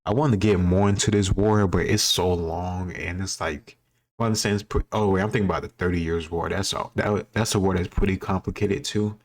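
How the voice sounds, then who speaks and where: Very low-pitched man, casual commentary. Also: fairly even intonation, a little fast. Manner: conversational, thinking aloud, self-doubting. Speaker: host reacting to a history quiz. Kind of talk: casual commentary, answering quiz questions.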